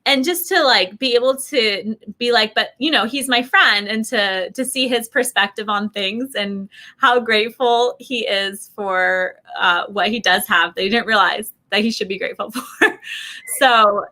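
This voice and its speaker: A middle-aged woman telling casual stories in an animated, chatty way, acting out what people said. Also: fairly high pitch, normal speed. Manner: animated, chatty, acts out quoted remarks. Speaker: guest sharing family travel experiences. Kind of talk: casual conversational storytelling.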